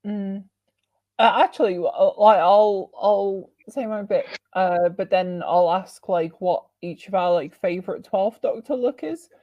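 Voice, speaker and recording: A woman's voice: medium pitch, a little slow, dry recording, highs cut off. A woman hosting a casual podcast chat, rambling and filling her talk with lots of "like" and "um". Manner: rambling, heavy on fillers. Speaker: podcast host.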